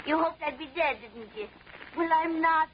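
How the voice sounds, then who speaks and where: An actress playing a frantic eighty-two-year-old widow in 1940s radio drama, her voice shrill and wavering, swinging between mockery and pleading. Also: unhurried. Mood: mocking. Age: older. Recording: dull old radio recording with hiss.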